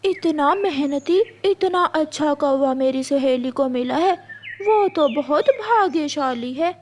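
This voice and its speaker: Woman playing coy, high-voiced cartoon crow bride. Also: animated, slow pace, a little mellow, a little hiss or hum. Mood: admiring. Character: coy, sly young female crow bride. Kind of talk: Hindi cartoon story acting.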